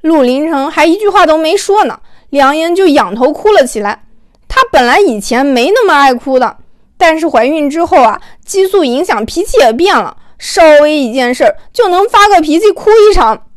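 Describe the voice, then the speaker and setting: A woman narrating an audiobook in an expressive, conversational storytelling style. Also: high voice, normal speed, no room sound, studio quality.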